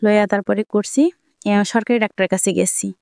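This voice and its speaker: A woman's voice: mid-range voice, steady tone, fairly quick, gravelly, a little mellow, dry recording, studio quality. A young woman with a colloquial Dhaka-area Bangladeshi Bengali accent tells her life story in a plain-spoken, repetitive, rambling way. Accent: Bangladeshi Bengali, colloquial Dhaka-area dialect. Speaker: guest telling own life story.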